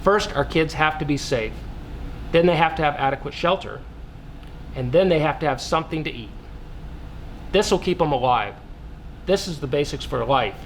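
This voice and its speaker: A man's voice: a little high, lively intonation, normal speed, long pauses, slight background noise, poor audio. A man playing an earnest researcher, speaking in a didactic way as in a scripted interview drama. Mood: grave, preaching. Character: earnest widowed male researcher preaching plant-based eating. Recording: music playing behind the voice.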